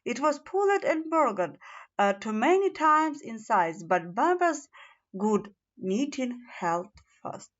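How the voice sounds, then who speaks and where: A woman's voice: a little high, very animated, slow pace. A woman reads a children's fairy tale aloud as a storybook narrator, stumbling now and then over her words. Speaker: storybook reader. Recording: slightly dull, lo-fi sound.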